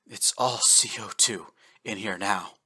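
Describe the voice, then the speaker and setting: A man voicing a dying admiral, speaking in a soft, raspy, plodding voice that stalls and breaks off between words. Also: lowish voice, heavily acted. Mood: resigned. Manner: slow, halting, quiet, breaking off mid-sentence. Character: aging male admiral, dying, fading over comms.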